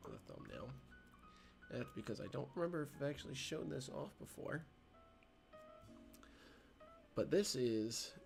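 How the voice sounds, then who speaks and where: A man hosting a casual livestream, hoarse and raspy from a recent cold, talking hesitantly with many ums and stopping to clear his throat. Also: fairly low pitch. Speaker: livestream host.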